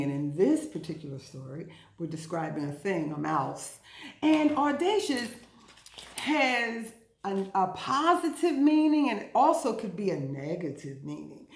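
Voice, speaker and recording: A woman hosting an interactive storytime and vocabulary lesson for young children, speaking in an emphatic, deliberate, teacherly way. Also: medium pitch. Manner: emphatic, deliberate teacherly delivery with repetition and questions to listeners. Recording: roomy, echoing indoor recording.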